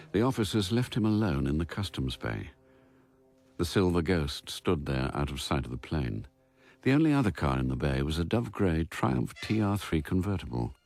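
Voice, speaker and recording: An actor as the composed narrator of a radio spy thriller, reading scripted narration in a measured, precise way. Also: male voice, very deep voice, sing-song, creaky, a little mellow, full character voice.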